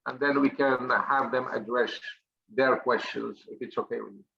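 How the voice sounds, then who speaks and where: A man's voice: medium pitch, fairly even intonation, moderate pace, gravelly, sharp tone. A middle-aged man moderating a webinar panel, speaking hesitantly with many fillers. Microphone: webinar call microphone. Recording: dull lo-fi call audio with faint hiss.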